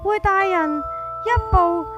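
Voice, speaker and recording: High-pitched Hong Kong Cantonese woman storyteller. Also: slow pace, over loud music. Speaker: narrator and main character of a horror story. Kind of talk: first-person scripted storytelling with acted dialogue.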